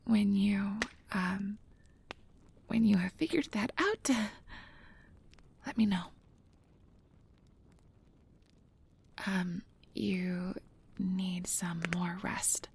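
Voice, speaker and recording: A woman playing a nervous, earnest, principled field researcher of magical creatures in an ASMR audio drama, soft and hesitant with stammers, close to the mic. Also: mid-range voice, takes it slowly, long pauses, a little hiss or hum. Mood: subdued, resolute. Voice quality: breathy, soft. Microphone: close mic, dry recording.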